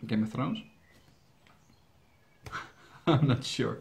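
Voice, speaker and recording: A man's voice: mid-range voice, drawn-out words, vocal fry. A young Dutch man, whose first language is Dutch, answering viewer questions in English in a rambling, hesitant, joking way. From Netherlands. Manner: rambling, hesitant, with frequent fillers and restarts, joking. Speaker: YouTube reaction channel host.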